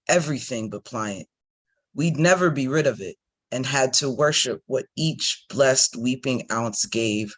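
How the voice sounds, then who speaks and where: A man reading poetry aloud in short, deliberate, measured phrases. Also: medium pitch, dry recording.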